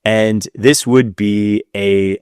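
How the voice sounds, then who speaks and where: A man's voice: fairly low pitch, fairly even intonation, very slow, darkish tone, clear, resonant tone, no room sound, clean studio sound. A man co-hosting a casual talk show, chatting through listener questions in conversation. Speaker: podcast co-host.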